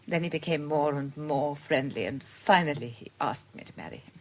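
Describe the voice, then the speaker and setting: An actress playing a gentle, independent middle-aged British woman in a period radio drama, speaking earnestly and tenderly with careful articulation. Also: deep voice, lively intonation, normal speed, slight background noise, rough recording. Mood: wistful, regretful. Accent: British English.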